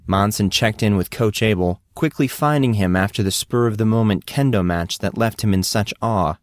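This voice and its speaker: A man's voice: lowish voice, normal speed, dry recording. A man reading scripted fiction as an audiobook narrator.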